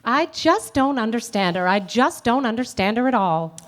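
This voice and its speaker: A woman's voice: fairly high pitch, lively intonation, moderate pace, lightly acted. A woman playing an exasperated, fussy married woman in a radio drama, insistent and chatty. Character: exasperated, fussy married woman reporting her mother's mishap. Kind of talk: 1950s radio drama acting.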